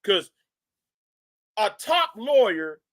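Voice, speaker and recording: A man hosting a live stream, reacting to a clip with excitable commentary, repeating phrases and breaking into loud outbursts. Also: sits high, very slow.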